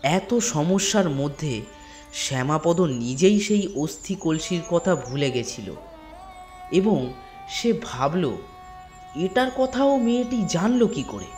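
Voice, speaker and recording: A man narrating a scripted ghost story in a dramatic storytelling manner with drawn-out pauses. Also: a little high, lively intonation. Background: soft instrumental music.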